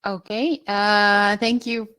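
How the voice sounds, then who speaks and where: A woman's voice: medium pitch, level tone, slow pace, sharp tone, clear, resonant tone. Woman hosting a video call panel. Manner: friendly, chatty, apologetic with fillers. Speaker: host. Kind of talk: casual video call moderation.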